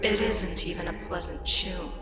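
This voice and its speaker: A woman playing a weary, irritable ancient eldritch deity in a fantasy ASMR audio roleplay, sardonic and theatrical, with deliberate pauses. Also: lowish voice, steady tone, lightly acted. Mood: weary, annoyed. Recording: echoing long reverb with loud music. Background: loud music.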